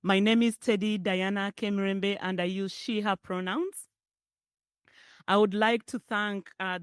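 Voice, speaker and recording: A middle-aged woman from Uganda with a Ugandan accent, speaking warmly and chattily at a public forum and laughing often. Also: medium pitch, clean tone, no room sound, studio quality. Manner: warm, emotional, chatty asides with frequent laughter. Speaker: guest speaker, parent advocate. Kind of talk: personal speech at a public forum. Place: hotel ballroom event.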